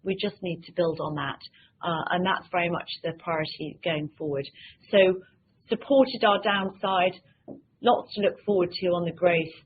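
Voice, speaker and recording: A woman presents company results as an executive on a conference call. Her delivery is measured and halting, with many ums and repeated words. The sound is thin and phone-like, with slight noise behind her. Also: lowish voice, steady tone. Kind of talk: investor presentation, semi-scripted. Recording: tinny phone-like line with faint noise.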